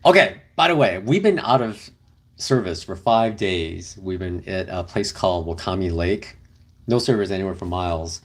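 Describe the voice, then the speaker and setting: Easygoing young man, lowish voice. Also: expressive, normal speed. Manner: rambling, easygoing, many fillers. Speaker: livestream host. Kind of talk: casual livestream chat reading comments. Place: car camping, indoors with partner.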